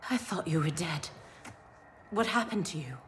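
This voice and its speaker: A devoted female warrior, low voice, faint ambient hiss. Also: animated, moderate pace, a bit bright. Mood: heartfelt. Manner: earnest, heartfelt.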